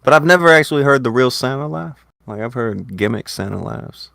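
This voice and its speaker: A man hosting a casual online talk show, joking and swearing, with bursts of loud excitement. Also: medium pitch, a little fast, vocal fry, dry recording, clean studio sound. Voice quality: lively, swings into loud bursts. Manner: profane, jokey, talks with laughs. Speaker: podcast host. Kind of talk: casual chat.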